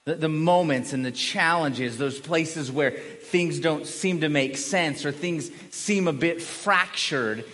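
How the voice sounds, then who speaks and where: A man's voice: on the high side, slow pace, few pauses, a bit bright, slight background noise. A middle-aged man preaching a conversational sermon as a pastor, often repeating and restarting words as he earnestly explains. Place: large room, preaching to a congregation. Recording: long hall reverb.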